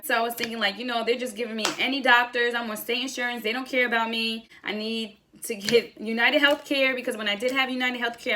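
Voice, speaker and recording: A woman's voice: medium pitch, vocal fry, sharp tone, a little hiss or hum. A young woman telling a personal story in a casual vlog style, acting out bits of conversation as she goes. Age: young adult. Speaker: vlogger.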